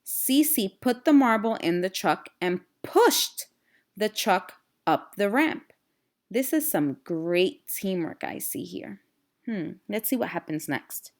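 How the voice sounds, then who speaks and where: A woman reads a children's storybook at a slow, measured pace. Her voice is middle-pitched and expressive, and she adds explanatory asides for young listeners. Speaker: read-aloud storyteller and teacher. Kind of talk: children's storybook read-aloud with teaching asides.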